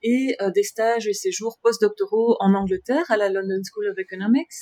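A woman from Belgium, a philosophy professor answering interview questions in a measured, articulate way with frequent hesitations. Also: mid-range voice, mellow, a little hiss or hum.

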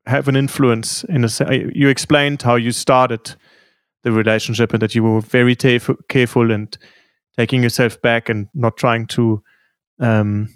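A male interviewer with a gravelly edge to his mid-pitched voice, recorded close and dry. He asks long, winding questions in a thoughtful, conversational way. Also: no room sound. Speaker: interviewer, host.

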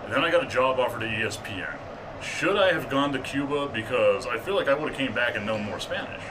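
A deep-voiced man from El Paso hosting a casual interview, joking and self-deprecating. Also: animated, a little fast, far from the mic, noisy background. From El Paso, Texas. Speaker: interviewer, host.

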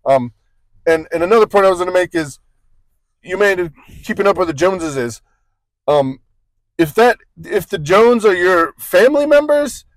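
A man's voice: high-pitched, animated, normal speed, no room sound, rough recording. A man co-hosting a casual sports podcast, rambling through points with joking asides and frequent self-corrections. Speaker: podcast co-host.

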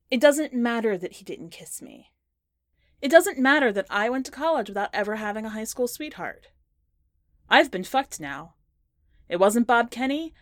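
A woman reading a first-person short story in a measured, expressive audiobook style. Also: medium pitch, no room sound, clean studio sound. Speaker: podcast host and fiction reader.

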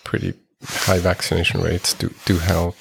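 A man's voice: deep voice, level tone, moderate pace, gravelly, fairly bright tone, a little hiss or hum, poor audio. A man from Switzerland hosting a podcast interview, chatting casually with lots of fillers and restarts. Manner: friendly backchanneling, frequent laughs and fillers. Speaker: podcast host.

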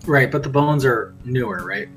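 A man playing a tabletop game, improvising speech in a measured, carefully worded way. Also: medium pitch, expressive, fairly bright tone, husky. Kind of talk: improvised in-character game talk. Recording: music playing under the voice. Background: plucked guitar music.

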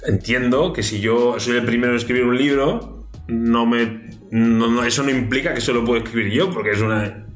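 A man's voice: mid-range voice, steady tone, normal speed. A man from hosting a podcast, joking around and asking playful questions in casual chat. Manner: joking, asks naive questions. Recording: roomy echo, faint music.

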